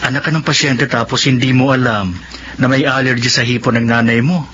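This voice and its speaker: An actor with a Filipino accent playing a stern, brusque doctor, lecturing sarcastically in radio drama style. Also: male voice, mid-range voice, fairly even intonation, a little fast, fairly bright tone, close to an everyday voice. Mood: scolding, irritated. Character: stern, scolding male doctor. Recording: dull radio audio, faint background noise.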